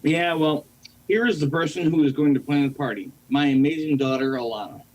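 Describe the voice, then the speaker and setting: A man's voice: on the high side, brisk, darkish tone, close to an everyday voice, poor audio. A man playing a teasing, proud dad who works in radio, in an amateur audio play. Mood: breezy, teasing.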